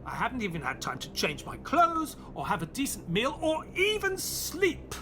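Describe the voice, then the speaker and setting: A man's voice: extremely high pitch, huge pitch swings, moderate pace, pushed and bright, a little hiss or hum, heavily acted. An actor playing an earnest, weary male companion to a detective, questioning and reflective, in an audio play. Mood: flustered, weary. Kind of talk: audio play acting and narration.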